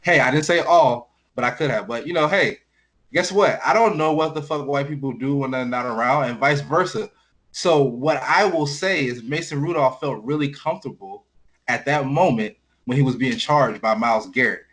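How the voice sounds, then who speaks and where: Frank male sports co-host, mid-pitched. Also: normal speed, a bit bright. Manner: frank, blunt, informal. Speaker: sports talk show co-host. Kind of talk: casual sports analysis and commentary.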